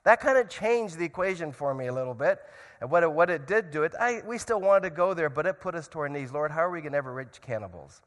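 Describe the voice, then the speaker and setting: A man speaking as a missionary at a church, telling his story earnestly and with growing excitement. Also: fairly high pitch, quick pace, big-room sound. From grew up in Indonesia. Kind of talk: church missionary talk with prayer.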